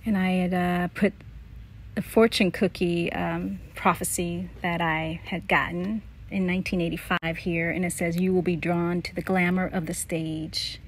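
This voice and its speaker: A woman's voice: on the low side, level tone, a little slow. A middle-aged woman casually reminiscing as she narrates, like a vlogger going through keepsakes.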